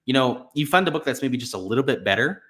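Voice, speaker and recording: A young man with a slightly raspy voice from being sick, casually talking through betting picks on a live stream, thinking aloud. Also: medium pitch, animated, fast talker, fairly bright tone. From Florida. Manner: casual, thinking aloud with fillers like "right" and "you know". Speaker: sports betting stream host.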